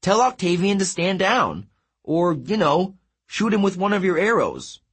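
A man's voice: high-pitched, big pitch swings, unhurried, a bit bright, slightly rough, voice pushed up, heavily acted. A man voicing a wisecracking teenage boy with a flippant, offhand manner.

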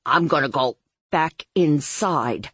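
A woman voicing a sharp-tongued young heroine who sounds flustered and urgent in an audiobook. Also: low-pitched, animated, slow, slightly rough, no room sound, full character voice. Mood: snappy, urgent.

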